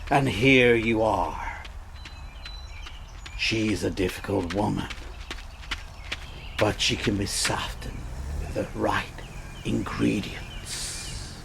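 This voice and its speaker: An actor playing a scheming small-town entrepreneur in a comedy audio drama, measured and persuasive, hedging as he goes. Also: lowish voice, vocal fry, a bit bright, slight background noise, heavily acted.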